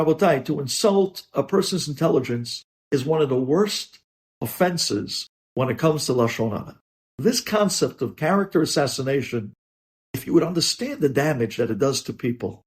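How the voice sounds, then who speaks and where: A man's voice: medium pitch, a little mellow. A man speaking as a rabbi giving a religious lesson, emphatic, with rhetorical questions and repeated phrases.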